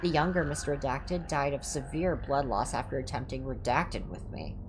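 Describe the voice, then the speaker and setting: A woman's voice: very deep voice, moderate pace, music in the background, rough recording. A woman livestreamer reading horror entries aloud in an ASMR style, with casual asides.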